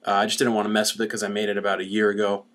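A man's voice: on the low side, completely flat delivery, racing, a bit bright. A young adult man giving a casual how-to explanation, speaking into a webcam at home. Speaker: DIY music gear demonstrator. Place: small cluttered room at home. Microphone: webcam about arm's length away.

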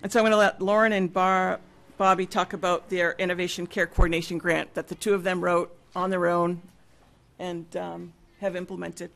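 A woman giving an informal spoken report at a public school meeting, hesitant and pausing mid-sentence. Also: on the low side, flat delivery, creaky, a bit bright. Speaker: school nursing leader presenting a report. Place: mid-sized meeting room with seated public. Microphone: gooseneck table microphone.

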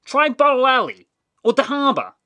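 A goofy, giggly teenage newsboy, played by a woman reading aloud, excitable and high-pitched with a bouncing, bright voice. He sounds delighted with everything. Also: normal speed, full character voice. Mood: giddy. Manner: giddy, excitable.

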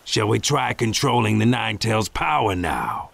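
A man voicing a cocky, playful rapping ninja mentor in an anime video game, gravelly, with slangy, rhyming talk. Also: lowish voice, animated, moderate pace, fairly bright tone, no room sound, heavily acted. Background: faint game music.

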